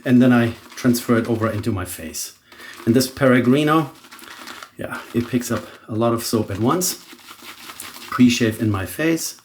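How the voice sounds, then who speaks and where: A man's voice: medium pitch, normal speed, pauses often, a little hiss or hum. A middle-aged man from Germany, a native German speaker with a German accent, talking casually through a shaving demonstration and thinking aloud as he goes. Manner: relaxed, thinking aloud with frequent restarts. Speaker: shaving vlogger. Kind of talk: casual product demo chat.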